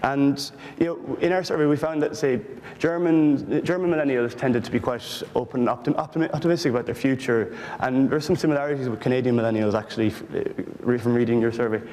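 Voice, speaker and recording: Irish man, panel speaker, earnest, halting restarts, mid pitch, long hall reverb. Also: a little mellow, slight background noise. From Ireland. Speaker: panel speaker, political researcher. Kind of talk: panel discussion.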